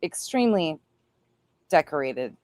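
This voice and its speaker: A woman's voice: sits low, very animated, unhurried, darkish tone. A woman giving casual reaction commentary in an emphatic, colloquial way.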